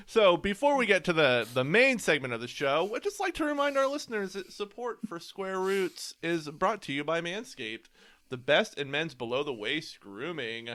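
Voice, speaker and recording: A male podcast co-host with a high, bright voice. His delivery is conversational and animated, moving freely up and down in pitch. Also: moderate pace. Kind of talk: casual chat and sponsor read.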